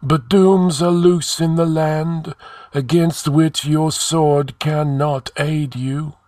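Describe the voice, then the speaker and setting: A man's voice: fairly high pitch, fairly even intonation, slow pace, a little mellow, dry recording, voice pushed up. A man voicing an ancient ghostly sage in a fantasy audiobook, solemn, commanding and oratorical in an archaic way. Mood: grave, portentous.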